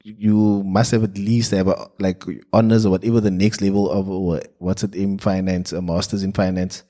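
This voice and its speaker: A South African man co-hosting a podcast, speaking bluntly and casually with a good deal of cursing. His voice sits low, and his tone is mellow and relaxed. Afrikaans is his first language. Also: normal speed. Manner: blunt, swears freely. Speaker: podcast co-host. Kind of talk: casual chat.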